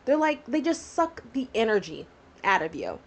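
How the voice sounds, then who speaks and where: A woman's voice: a little high, sing-song, normal speed. Chatty young woman rambling on a podcast. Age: 20s. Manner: rambling, chatty, lots of fillers and tangents. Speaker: podcast host. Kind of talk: casual solo chat.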